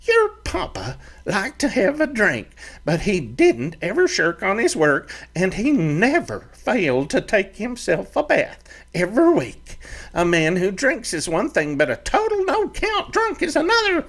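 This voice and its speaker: A woman's voice: mid-range voice, huge pitch swings, measured pace, voice pushed up, full character voice. A fiery little ranch mother. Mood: scolding. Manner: sharp scolding, bossy. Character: small fiery-tempered ranch mother, scolding.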